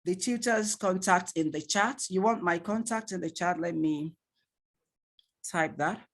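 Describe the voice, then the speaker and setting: A man's voice: sits high, measured pace, vocal fry, a bit bright. A man with a Nigerian accent giving an online training lecture to parents, asking rhetorical questions and laughing often. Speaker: tutor.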